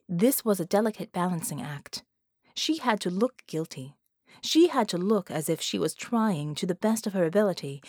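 A woman's voice: medium pitch, a little mellow, no room sound, clean studio sound. A woman reading scripted fiction as an audiobook narrator.

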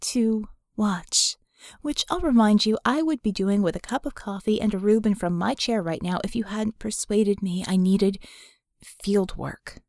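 A woman's voice: medium pitch, measured pace, darkish tone, no room sound, studio quality, lightly acted. A woman voicing a guarded, sardonic young female mage in an audiobook, telling her story with wry candor. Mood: dry, grumbling. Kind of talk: audiobook character dialogue.

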